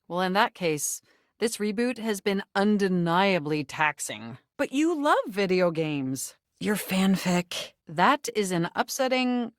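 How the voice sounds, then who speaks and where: A woman's voice: medium pitch, dry recording, clean studio sound, close to an everyday voice. A woman voicing a flirtatious, eager female computer character in a dating video game, speaking with wordy, precise techy diction and a theatrical flair. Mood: groggy, grumbling.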